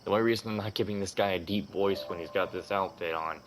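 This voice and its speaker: A man's voice: sits low, fast talker, background noise. A man hosting a gaming playthrough with casual, slangy and profane commentary. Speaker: gaming video host.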